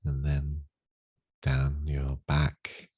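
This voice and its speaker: Very deep, slow male meditation guide. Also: monotone, slightly rough, no room sound, lo-fi sound. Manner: gentle, unhurried, soothing instruction with long pauses. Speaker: meditation teacher.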